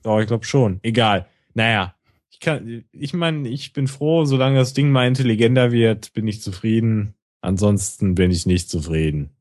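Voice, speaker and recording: A man's voice: fairly low pitch, moderate pace, a little mellow, dry recording. A man co-hosting a podcast, chatting casually in a dry, self-mocking way. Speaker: podcast co-host.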